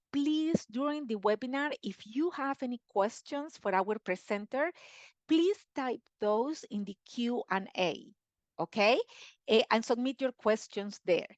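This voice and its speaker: A woman's voice: fairly high pitch, no room sound. A woman hosting a live online webinar over a video call, chatty and effusive, with plenty of fillers. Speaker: webinar host.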